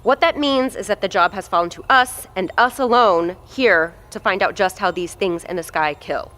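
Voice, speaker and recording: A woman's voice: medium pitch, moderate pace, fairly bright tone, lightly acted. Woman as a blunt research lab chief, swears a lot, commanding, audio drama. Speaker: facility head.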